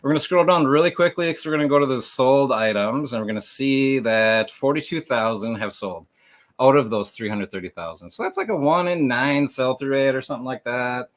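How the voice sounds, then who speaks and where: A man hosting a livestream, explaining things casually in a meandering way with lots of hesitant filler pauses. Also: mid-range voice, animated. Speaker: livestream host and reseller.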